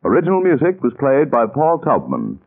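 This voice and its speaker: A man's voice: medium pitch, wildly swooping pitch, fairly quick. A man announcing in formal, scripted 1940s radio style with polished delivery. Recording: tinny 1940s radio recording, faint music.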